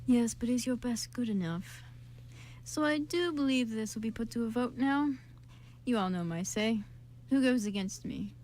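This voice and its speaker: A woman's voice: on the high side, moderate pace, darkish tone, slight background noise, lightly acted. A woman voicing a haughty, domineering master of magic users in an amateur radio play, imperious and condescending. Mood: challenging.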